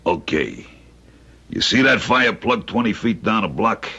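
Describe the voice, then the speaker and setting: An actor playing a wary, wisecracking young crook in old-time radio drama, with sardonic streetwise gangster talk and a hard-edged voice. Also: male voice, fairly low pitch, lively intonation, unhurried, sharp tone, breathy edge, exaggerated voice. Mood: wary, wry. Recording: dull old radio recording.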